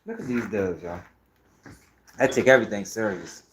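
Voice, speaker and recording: A man's voice: fairly low pitch, normal speed, fairly bright tone, a little hiss or hum. A man with a Baltimore accent hosting a casual livestream, talking to viewers in loose, profane street slang. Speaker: host of a commentary channel.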